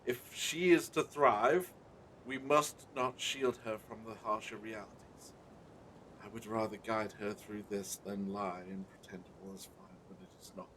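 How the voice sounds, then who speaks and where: A man playing a dutiful village gentleman and husband in a period audio drama, formal and placating. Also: fairly even intonation, unhurried, rough recording. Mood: earnest, firm. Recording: faint noise with low rumble.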